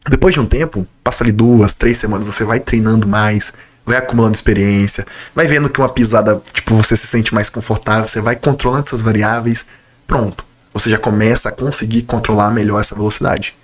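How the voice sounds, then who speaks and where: A man's voice: lowish voice, fairly even intonation, fast. A young Brazilian man, a nutritionist, chatting casually to his viewers in a vlog. He sounds slightly nasal from a cold and talks with enthusiasm. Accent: Brazilian Portuguese. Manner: conversational, enthusiastic, direct address to viewers. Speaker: nutritionist vlogger. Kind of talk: casual talking-to-camera vlog.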